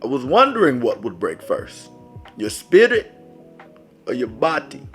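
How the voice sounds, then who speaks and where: Menacing masked villain as a man puts him on, deep, slow, measured, faint music behind. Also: wildly swooping pitch.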